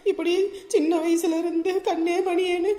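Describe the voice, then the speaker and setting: A woman's voice: very high voice, level tone, moderate pace, dark tone. A woman playing an aggrieved, bitter village mother in a family drama, with a plaintive, scolding manner. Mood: wounded lamenting. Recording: roomy, echoing voice recording.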